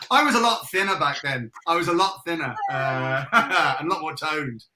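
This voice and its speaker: A man's voice: on the high side, lively intonation, moderate pace, noisy background. A man in his forties with a British accent and a faint Irish tinge, chatting as an interview guest about his life. He talks in a casual, self-deprecating way, laughs often and restarts his sentences. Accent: British, with a trace of Irish. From England. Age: 40s. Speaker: guest, retired army major and business founder.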